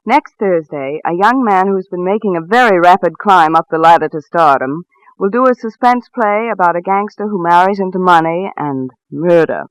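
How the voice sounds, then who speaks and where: High voiced 40s radio guy doing a promo, very dry sound, polished. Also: expressive, moderate pace, clean tone, no room sound, poor audio. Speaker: radio announcer. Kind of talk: 1940s scripted radio promo.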